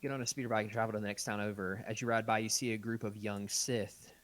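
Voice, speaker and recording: A man's voice: lowish voice, steady tone, quick pace, a bit bright. A man reading text adventure game narration aloud and chatting casually as a podcast host.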